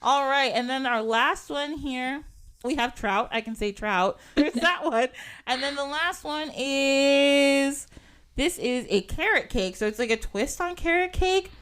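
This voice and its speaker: A woman's voice: high-pitched, animated, a little slow, a bit bright. A woman hosting a podcast, talking in a chatty, casual way with lots of fillers and frequent giggles, sometimes reading from an article. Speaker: podcast host.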